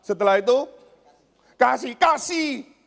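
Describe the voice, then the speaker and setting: A man's voice: very high voice, lively intonation, slow, creaky. A man preaching a sermon as a pastor, emphatic, repeating phrases and putting rhetorical questions to his listeners. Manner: swings between raised exclamations and hushed asides; addresses congregation with rhetorical questions. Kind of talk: church sermon. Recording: long hall reverb.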